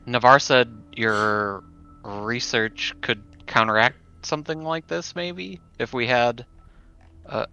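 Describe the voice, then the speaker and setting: A man's voice: medium pitch, slow, creaky, pushed and bright, clear, resonant tone, muffled recording. A man playing a tabletop game, roleplaying casually and feeling his way tentatively through his phrases.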